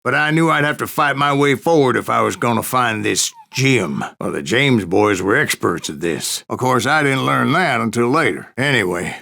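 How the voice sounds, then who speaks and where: A stubborn old gunslinger from a Western video game. His voice is full of gravel and fry, with a folksy American West drawl. He tells his tall tales like a cantankerous, foul-mouthed saloon storyteller. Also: medium pitch, animated, moderate pace, hardly any pauses, pushed and bright. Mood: grim storytelling. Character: gruff, stubborn old Wild West bounty hunter spinning tall tales. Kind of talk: storytelling narration, rambling saloon yarn.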